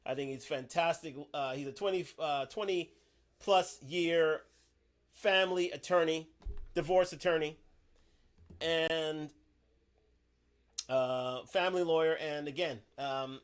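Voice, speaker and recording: High-voiced man hosting a stream. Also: steady tone, takes it slowly, pauses often, smooth. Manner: hesitant, restarts, repeats "again". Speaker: stream host. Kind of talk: livestream introduction.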